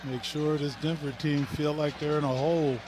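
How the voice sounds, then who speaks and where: A man adds short, off-the-cuff remarks as a color commentator. His voice sits a little high, with loud arena noise behind it. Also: little pitch movement, moderate pace. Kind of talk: brief off-the-cuff sports commentary. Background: arena ambience, bouncing basketball.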